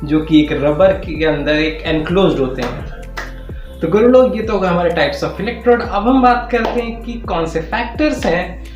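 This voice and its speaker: A man's voice: sits high, animated, unhurried, faint music behind. An Indian man teaching physiotherapy in a methodical, step-by-step explanatory lecture with repetitive phrasing. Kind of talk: explanatory lecture with Hindi-English technical terms. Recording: roomy echo.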